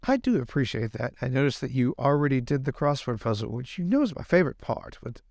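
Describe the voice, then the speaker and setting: A man's voice: medium pitch, big pitch swings, fast talker, vocal fry, darkish tone, dry recording, clean studio sound. A man improvising a polite, hesitant, faintly peevish lord in a tabletop roleplay. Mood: politely peevish. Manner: polite, hesitant, passive-aggressive. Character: mild young lord, politely peevish, easily pleased.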